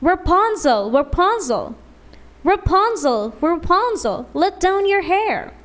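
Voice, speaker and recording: A woman's voice: very high voice, big pitch swings, takes it slowly, voice pushed up, full character voice. A woman voicing an eager young storybook prince who calls out in sing-song. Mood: eager calling. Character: young king's son, eager romantic suitor.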